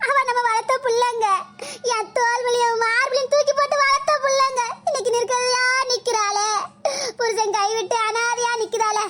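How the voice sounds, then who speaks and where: A woman's voice: very high-pitched, brisk, noisy background. A woman with a playing a scheming middle-aged village stepmother who wails, pleads and cries in melodramatic bursts. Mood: wailing. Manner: loud wailing, pleading, crying melodrama. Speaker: skit actor. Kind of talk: melodramatic village comedy skit.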